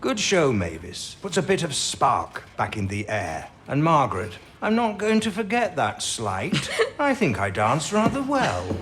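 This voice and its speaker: Man playing an absent-minded codebreaking boss, animated. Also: lowish voice, a little slow, hardly any pauses. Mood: wry, kindly. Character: absent-minded, gruff but kindly male wartime codebreaking boss. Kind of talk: period radio drama acting. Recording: noticeable background noise and clicks.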